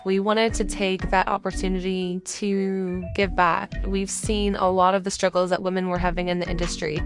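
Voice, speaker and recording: A middle-aged woman from California, a wine company co-founder, speaking in an earnest, upbeat documentary interview. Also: mid-range voice. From Central Coast of California. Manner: earnest, upbeat storytelling with light laughs.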